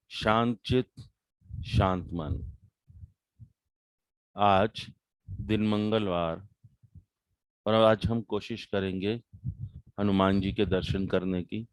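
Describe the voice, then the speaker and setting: A man with an Indian accent leading a guided meditation, calm and measured, with deliberate pauses between short phrases. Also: fairly low pitch, clean tone, no echo, poor audio.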